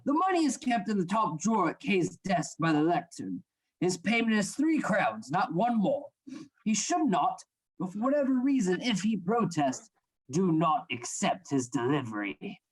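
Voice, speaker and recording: An actor playing a stern, secretive anatomy lecturer in a radio play, formal and instructing. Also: male voice, high-pitched, big pitch swings, moderate pace, husky, heavily acted, poor audio. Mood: grave, secretive.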